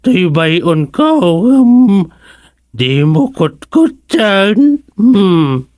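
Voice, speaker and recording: Confused elderly grandparent, radio drama comedy, extremely slow, grunting, mumbling, swinging pitch, dry close sound. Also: big pitch swings, darkish tone, no room sound. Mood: befuddled, stubborn. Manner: halting, muttering, full of grunts and hums. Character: confused, senile, mischievous old grandparent.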